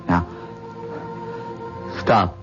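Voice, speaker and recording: An actor playing a feverish, obsessive husband in an old radio drama, weak and halting, pleading melodramatically for his wife. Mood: entranced longing. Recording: muffled radio drama tape, loud music.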